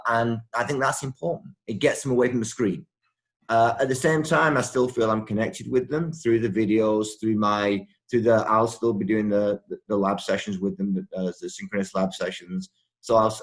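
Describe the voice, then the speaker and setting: Halting man, lowish voice, video call. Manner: halting, many restarts and repeated words. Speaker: university professor.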